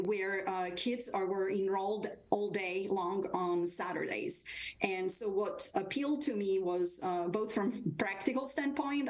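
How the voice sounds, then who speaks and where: A woman's voice: medium pitch, darkish tone, low-quality recording. A woman originally from Serbia, a guest telling her story over a video call, hesitant with many fillers and restarts. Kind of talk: remote interview storytelling. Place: wood-paneled room, indoors.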